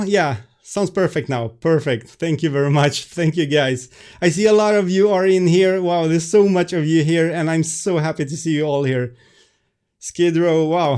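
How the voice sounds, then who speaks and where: A man's voice: on the high side, a little mellow. A man with a Swedish accent, chatting on a live stream through a webcam, flustered and apologetic, stumbling over restarts and fillers. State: stressed. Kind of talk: casual live stream chat.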